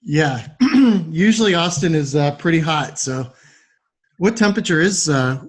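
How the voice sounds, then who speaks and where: A man's voice: a little high, animated, measured pace, slightly rough. A man hosting a podcast, introducing and interviewing in a friendly style. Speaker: podcast host.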